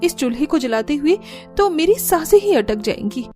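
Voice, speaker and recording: A woman's voice: high-pitched, very animated, moderate pace, dark tone, faint music behind, voice pushed up. A woman voicing a kind, accommodating young bride from a rich home, earnest and dutiful, in a cartoon. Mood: struggling. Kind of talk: Hindi cartoon story dialogue.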